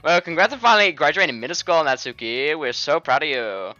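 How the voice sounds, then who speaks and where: A man's voice: high-pitched, huge pitch swings, a little slow, sharp tone, dry recording, dull audio, faint music behind, voice pushed up, heavily acted. A man voicing a teasing, mocking schoolgirl friend in an exaggerated way for a video game. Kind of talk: video game fan voice-over.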